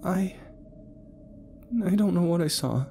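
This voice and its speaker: A man doing a soft, hesitant young woman. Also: high voice, takes it slowly, vocal fry, soft background music, voice pushed up, full character voice. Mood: timid. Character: young woman, fragile and guilt-ridden.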